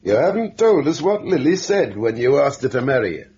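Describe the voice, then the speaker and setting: An actor playing a composed, suspicious police sergeant in an old-time radio drama, measured and insinuating. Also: male voice, medium pitch, big pitch swings, dark tone, breathy edge. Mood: insistent, measured. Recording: dull old radio recording.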